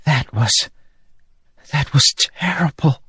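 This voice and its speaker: A man voicing a small, gentle female spirit who speaks softly and shakily. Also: a little high, big pitch swings, slow pace, dry recording, clean studio sound, voice pushed up, exaggerated voice. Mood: shaken. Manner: soft, shaky, breathy. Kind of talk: audiobook character voice.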